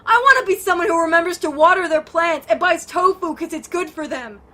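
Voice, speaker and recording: Woman acting a bright, high-voiced bitter woman. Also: a little fast. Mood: self-loathing outburst. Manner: blunt, defensive, cursing. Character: bitter, guilt-ridden woman, foul-mouthed recovering addict. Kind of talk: dramatic audio drama acting.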